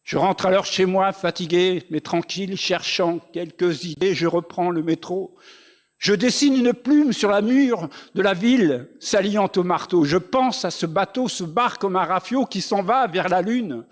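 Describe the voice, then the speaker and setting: Male slam poet, notably high voice, rhythmic lyrical recital style. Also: a little slow. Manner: lyrical, rhythmic delivery with refrains. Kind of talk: performed spoken-word slam poetry recital.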